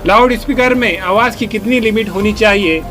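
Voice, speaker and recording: A man's voice: sits high, a little fast, bright, forceful tone. A man reading scripted news narration as a voice-over. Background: music bed.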